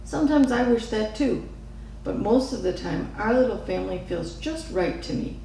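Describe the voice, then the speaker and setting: Lady being a calm patient mom in a kids story, very echoey, bit far from the mic. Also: mid-range voice, moderate pace, gravelly. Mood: reassuring. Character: gentle, reassuring storybook mother of a young daughter. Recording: echoey room, distant mic, faint noise.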